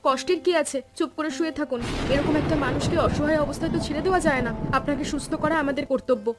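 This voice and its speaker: A woman voicing a practical, caring village wife in a Bengali cartoon story, brisk and affectionately scolding. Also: high voice, over music, natural voice. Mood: nursing, reassuring.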